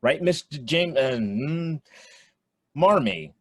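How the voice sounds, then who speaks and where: A man's voice: fairly high pitch, expressive, slow pace, husky, full character voice. A man playing an eager, friendly teenage computer geek with casual slang in a radio play. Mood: eager, admiring.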